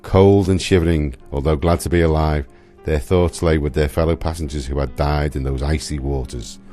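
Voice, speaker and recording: A man narrating a radio drama from a script in a solemn, measured manner. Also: a bass voice, steady tone, dark tone, faint music behind.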